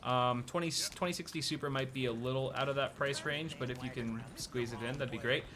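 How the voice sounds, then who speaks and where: A man's voice: medium pitch, steady tone, fairly quick, a crowd in the background. A young American man hosting a casual live stream, chatting loosely with many filler words while giving advice. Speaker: streamer host.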